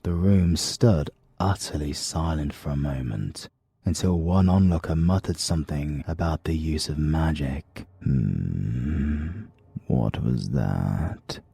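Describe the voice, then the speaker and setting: A man with a British accent narrating an audiobook in slow, deliberate storytelling. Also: rumbling low, dark tone, breathy edge, no echo. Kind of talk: scripted narration.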